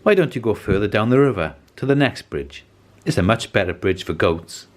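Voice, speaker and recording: A man's voice: on the low side, expressive, moderate pace, close to an everyday voice. A man voicing a tiny, timid spider in a children's storybook, earnest and pleading.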